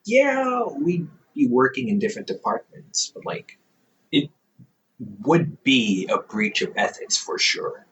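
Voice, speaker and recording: A man's voice: medium pitch, huge pitch swings, a little slow, a bit bright, highs cut off. A man playing a teasing older brother. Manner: teasing, laughs often. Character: playful young adult older brother, company therapist. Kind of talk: audio roleplay to a listener.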